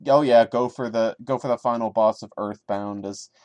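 A man's voice: medium pitch, fairly even intonation, moderate pace, darkish tone, no echo. A man hosting a podcast, giving a dramatic reading of a horror story with incredulous, sarcastic asides in a theatrical manner. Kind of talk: dramatic reading with mocking commentary.

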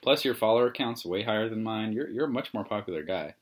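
Very deep-voiced young man, monotone. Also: fast. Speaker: podcast co-host. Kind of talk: casual sports chat.

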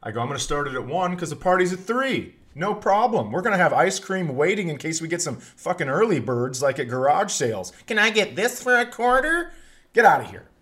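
A man's voice: high-pitched, sing-song, a little fast, a bit bright. Middle-aged man telling rambling, sweary stories. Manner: rambling, profane anecdotes. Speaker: podcast host and comedian. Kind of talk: casual comic storytelling.

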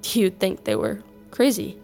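Earnest student, vocal fry, thoughtful, careful pauses, naturalistic drama. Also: female voice, medium pitch, fairly even intonation, a little mellow, soft background music. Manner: deliberate, thoughtful, pausing. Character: earnest female student presenting in class.

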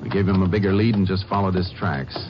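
An actor playing a calm, shrewd Texas Ranger in a measured, assured, plain-spoken way, in 1950s radio western style. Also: male voice, deep voice, natural voice. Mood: measured narrating. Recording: muffled old radio recording with music.

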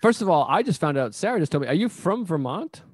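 A man's voice: on the high side, sing-song, quick pace, no room sound, studio quality. Casual American man hosting a podcast. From Massachusetts, United States. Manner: informal, self-deprecating, rambling. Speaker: podcast host and interviewer. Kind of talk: casual conversational interview.

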